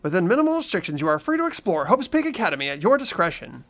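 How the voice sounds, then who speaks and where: Guy playing a anxious earnest high school boy in a game, high voice, very animated. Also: fast talker, gravelly, faint music behind, exaggerated voice. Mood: worried. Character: earnest, anxious teenage schoolboy, narrating his own story. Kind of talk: fan voice acting of a visual novel game.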